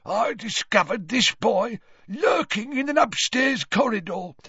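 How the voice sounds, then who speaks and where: A man's voice: very high-pitched, pushed and bright, husky, dry recording, lo-fi sound, pitched above the performer's own voice, full character voice. A man voicing a spiteful old male caretaker in an audiobook, wheezy, gloating and accusing.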